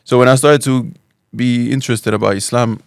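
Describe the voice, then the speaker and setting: A man's voice: mid-range voice, steady tone, fairly quick, vocal fry, clear, resonant tone, dry recording, studio quality. Resonant-voiced man in his thirties from Spain. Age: 30s. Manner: thoughtful, with frequent restarts and Arabic phrases. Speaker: guest. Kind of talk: relaxed personal storytelling.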